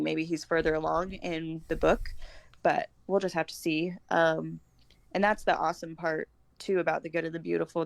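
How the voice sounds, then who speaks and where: A woman's voice: lowish voice, vocal fry, no echo. An American woman in her thirties chatting informally as a podcast guest, feeling her way through her thoughts as she talks. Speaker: podcast guest, homeschooling mother. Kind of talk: casual conversational chat.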